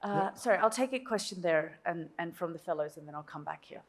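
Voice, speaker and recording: A woman moderating a panel, briskly managing questions in an informal spoken style. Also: on the low side, expressive.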